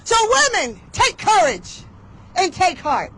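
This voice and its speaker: A woman's voice: very high voice, very animated, a little slow, sharp tone, a little hiss or hum. A woman giving an outdoor motivational rally speech, leading call-and-response with rhythmic, emphatic, rhyming delivery. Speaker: rally speaker.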